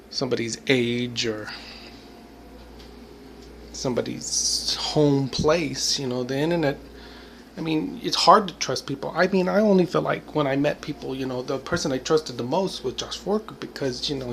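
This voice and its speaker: A man's voice: mid-range voice, moderate pace, creaky, a bit bright, a little hiss or hum. A man in his forties talking to the camera in a rambling, reflective monologue, full of "you know" and "I mean" and bits of laughter. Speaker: vlogger. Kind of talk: casual spoken monologue.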